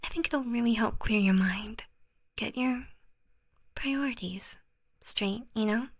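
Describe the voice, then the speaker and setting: A young woman whispering an ASMR roleplay as an obsessive, possessive lover, cooing endearments softly. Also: a little high, measured pace, no room sound, clean studio sound. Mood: doting. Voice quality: soft whisper.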